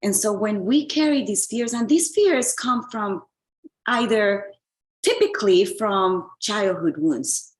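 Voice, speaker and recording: A woman's voice: medium pitch, slow. A woman from Colombia, a relationship coach and author, speaking earnestly as an interview guest, often repeating words as she searches for them.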